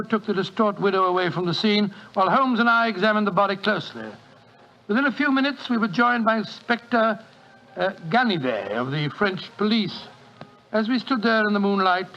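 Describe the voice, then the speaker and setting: An actor playing the detective's earnest, loyal doctor companion, who narrates the story in a 1940s radio drama. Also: male voice, high voice, sharp tone, full character voice. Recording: dull 1940s radio broadcast.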